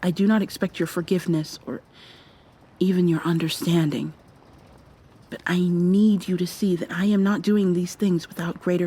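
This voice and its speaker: A woman playing an earnest, regretful robot woman in an ASMR audio drama, speaking softly in a formal, measured way. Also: lowish voice, breathy edge. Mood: solemn, earnest.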